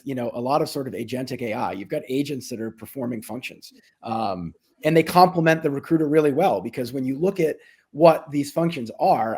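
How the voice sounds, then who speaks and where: A man's voice: mid-range voice, slightly rough. A man speaking as an executive in a business interview, explaining things conversationally with frequent asides. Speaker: company executive being interviewed.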